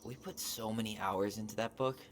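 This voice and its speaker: A man playing an earnest, gently teasing young adult in a video game, with a casual, dry delivery. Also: fairly low pitch, fairly even intonation, fairly quick, natural voice. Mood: nostalgic, teasing. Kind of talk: game cutscene voice acting. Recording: faint background noise.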